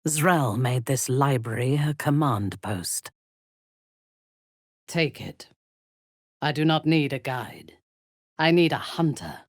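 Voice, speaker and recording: A woman playing a proud, ruthless female dark elf warrior in a video game, speaking in a cold, commanding, formally measured manner. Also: extremely low pitch, lively intonation, dry recording, studio quality, heavily acted. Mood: solemn, intense. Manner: imperious, measured, formal phrasing.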